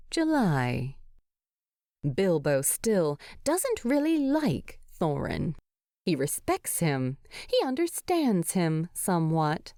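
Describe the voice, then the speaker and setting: A woman reading a story aloud as an expressive scripted narration. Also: lowish voice, no echo, clean studio sound. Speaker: narrator of fan fiction.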